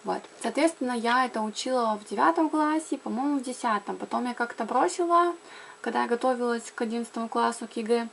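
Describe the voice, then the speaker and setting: A woman's voice: fairly high pitch, fast, creaky, a little hiss or hum. A young woman from Karelia chatting casually to camera about learning languages, with frequent fillers and self-corrections. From Karelia, Russia. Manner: chatty, lots of fillers and restarts. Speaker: language blogger.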